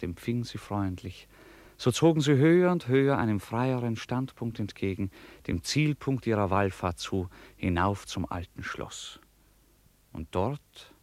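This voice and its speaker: A man narrating a literary radio play with measured, deliberate phrasing. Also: on the low side, a little mellow, no room sound.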